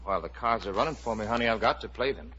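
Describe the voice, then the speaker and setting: An actor playing an affectionate, coaxing gambler husband in a 1950s radio musical. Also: male voice, lowish voice, expressive, rapid-fire, sharp tone, slightly rough, full character voice. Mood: breezy, coaxing. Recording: muffled 1950 radio broadcast.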